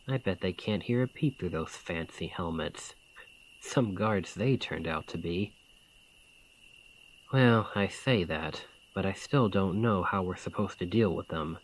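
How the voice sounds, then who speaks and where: A man plays a fantasy bandit chief with a lowish voice and a very unhurried pace. He is scheming but caring, talking in plain, folksy words like someone plotting a job with his crew in an intimate ASMR roleplay. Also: poor audio. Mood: wry, relieved, then pondering. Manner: colloquial, deliberate, conspiratorial. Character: gruff but caring male bandit leader, scheming, informal. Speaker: voice actor in audio roleplay.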